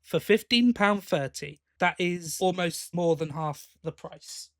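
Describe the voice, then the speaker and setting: A man's voice: sits high, a little slow, a bit bright, dry recording, studio quality. A man co-hosting a podcast, talking in a casual, chatty and enthusiastic way. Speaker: podcast co-host.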